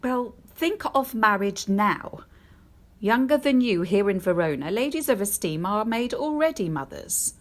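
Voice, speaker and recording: A woman playing a formal, commanding noble mother in a Shakespearean audio drama, with a brisk, directive manner. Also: mid-range voice, lively intonation, lightly acted. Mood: persuasive.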